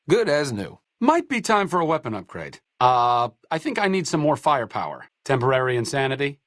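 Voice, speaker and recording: A man's voice: mid-range voice, fairly bright tone, no room sound. A man playing a wry, bookish doctor companion in a post-apocalyptic video game, with dry, sardonic and articulate delivery. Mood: casual, wry.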